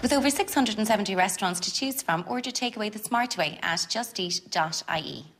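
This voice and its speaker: A woman with a Cork accent, a contestant talking briskly and practically and rehearsing a voiceover line. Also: medium pitch, animated, a bit bright. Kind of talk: reality show talk in an edit suite. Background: music playing.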